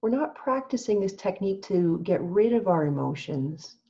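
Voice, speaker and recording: A woman teaching meditation in a calm, gentle, measured way, leaving long pauses as she gives instructions. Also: medium pitch, highs cut off.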